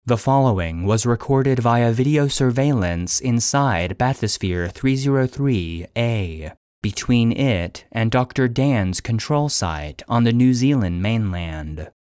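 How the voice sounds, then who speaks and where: A man's voice: medium pitch, expressive, slow pace, a little mellow, clean tone, no room sound, studio quality. A man voicing an impersonal facility database, reading classified logs in a formal, clinical manner. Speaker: database narrator reading an incident log. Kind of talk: scripted narration.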